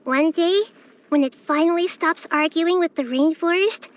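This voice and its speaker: A woman voicing a wise, gentle, curious child-like goddess in a video game, with a light youthful voice and earnest, thoughtful phrasing. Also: very high-pitched, moderate pace. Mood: wise, reflective. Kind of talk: video game character voice lines.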